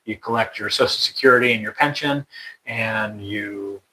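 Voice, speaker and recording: Young american guy, kinda low but bright voice, rambly and full of ums. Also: low-quality recording. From United States. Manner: rambling, halting, many fillers and restarts. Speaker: interviewee. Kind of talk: casual conversation.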